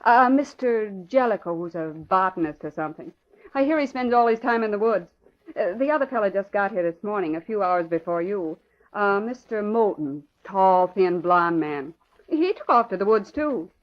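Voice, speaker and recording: An actress playing a chatty, excitable young girl photographer in an old radio drama, with breezy exclamations. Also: mid-range voice, moderate pace, natural voice. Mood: breezy, gossipy. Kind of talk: 1940s radio acting. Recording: muffled old radio recording.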